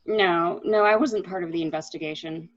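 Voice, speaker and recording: A woman's voice: lowish voice, moderate pace, close to an everyday voice. A woman playing an anxious, protective, genteel wife in a murder mystery reading, apologetic and soothing. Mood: uneasy, resigned. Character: anxious, protective, genteel married woman hushing her companion. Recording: dull, muffled lo-fi audio.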